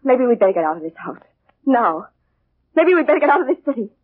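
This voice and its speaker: Anxious wife, swooping dramatic pitch. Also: female voice, sing-song, quick pace. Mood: uneasy. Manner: pleading, fearful, dramatic. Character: anxious, guilt-ridden wife of a murderer. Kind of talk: 1940s radio melodrama acting. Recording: dull old radio recording.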